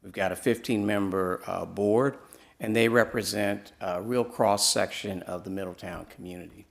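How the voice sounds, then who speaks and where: Soft-spoken low gravelly man presenting. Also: fairly even intonation, normal speed. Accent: Midwestern American. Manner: soft-spoken, many uh fillers. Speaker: downtown nonprofit presenter. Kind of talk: public presentation at council meeting. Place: city council chamber. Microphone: podium microphone.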